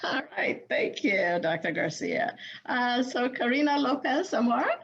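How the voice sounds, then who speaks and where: A woman's voice: fairly high pitch, sing-song, gravelly, slightly rough, highs cut off. A woman from Ethiopia, a native Amharic speaker, moderating a panel over a video call, with frequent fillers and restarts. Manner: halting, many fillers and restarts.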